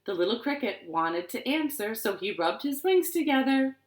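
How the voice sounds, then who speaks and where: A woman reading stories and rhymes aloud to young children in an expressive, deliberate way, recorded in a living room. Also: a little high. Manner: expressive, deliberate, asks the listeners questions. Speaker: children's librarian storyteller. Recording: roomy, echoing living-room sound.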